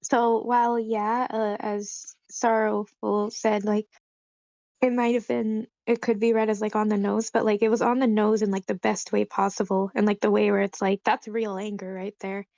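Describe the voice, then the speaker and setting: A woman's voice: mid-range voice, flat delivery, moderate pace, darkish tone, dry recording, lo-fi sound. A woman giving casual, tentative, self-deprecating feedback in an online group discussion. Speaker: table read participant giving feedback. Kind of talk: casual spoken critique.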